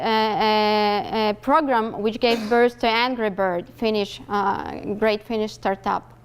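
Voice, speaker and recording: A woman from Belarus presents at a university speaker series. Her voice is smooth and clear, set a little high. She talks in careful English, pausing often on fillers and restarting phrases. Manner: hesitant, many fillers and restarts. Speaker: startup event organizer. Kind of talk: conference presentation. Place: lecture hall.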